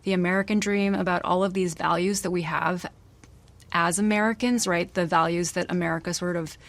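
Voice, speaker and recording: Thoughtful woman author, soft low voice. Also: fairly even intonation, a little fast. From Malaysia. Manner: thoughtful, many fillers and restarts. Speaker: author guest. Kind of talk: reflective interview answers.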